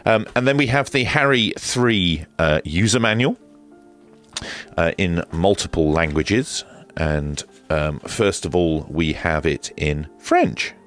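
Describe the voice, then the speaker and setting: A man's voice: low-pitched, lively intonation, slow, a bit bright, soft background music. A man presenting a hands-on product review in a casual, hesitant way with dry, understated humour. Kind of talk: casual hands-on unboxing commentary.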